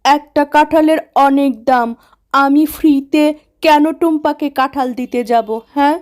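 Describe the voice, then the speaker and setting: A woman's voice: high-pitched, fairly even intonation, normal speed, darkish tone, natural voice. A woman voicing a stingy, scolding tigress mother in a children's cartoon, with a grudging manner. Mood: scornful. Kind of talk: children's cartoon story acting.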